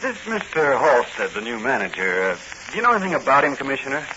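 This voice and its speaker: A keen young American patrolman acted by a man from an old radio serial. His speech is respectful and inquisitive with very lively intonation, under heavy background noise on a dull recording. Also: medium pitch, fast, close to an everyday voice. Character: polite, keen young rookie patrolman hero. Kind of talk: 1940s radio drama acting.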